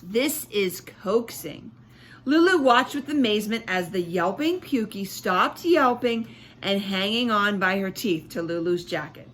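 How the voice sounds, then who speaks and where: A woman teacher reading a storybook aloud to children with expressive emphasis, pausing to explain and ask questions. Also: medium pitch.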